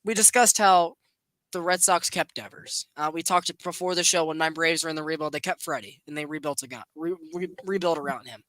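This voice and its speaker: A young man hosting a podcast, chatting casually about baseball in an animated, rambling way. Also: on the high side, a little fast, no room sound, rough recording. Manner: animated, rambling, laughs often. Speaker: podcast host. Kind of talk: casual sports chat.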